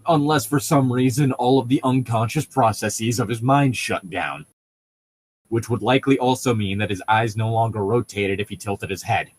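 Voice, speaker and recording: A man's voice: mid-range voice, moderate pace, breathy edge. A man chatting casually as a co-host, explaining things in a rambling, nitpicky way. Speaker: podcast co-host reading and riffing on a novel. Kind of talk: casual banter and commentary.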